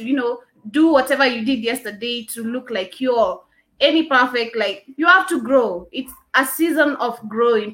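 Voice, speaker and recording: A woman's voice: high voice, fairly bright tone. A young woman hosting a casual morning show, chatty and rambling with many fillers. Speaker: radio show host.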